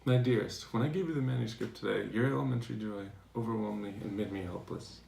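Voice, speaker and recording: A man playing a devoted philosopher reading his tender love letters aloud in a measured, earnest dramatization. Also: fairly low pitch, gravelly, darkish tone. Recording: echoey room, distant mic, faint noise.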